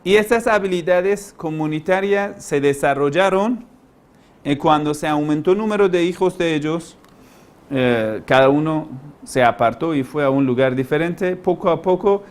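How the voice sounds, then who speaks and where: A man with a non-native, foreign accent giving an informal lecture as a teacher, didactic and halting, stressing key words and posing rhetorical questions. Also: fairly high pitch. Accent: foreign, non-native Spanish. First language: not Spanish. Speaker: language-learning teacher, lecturer.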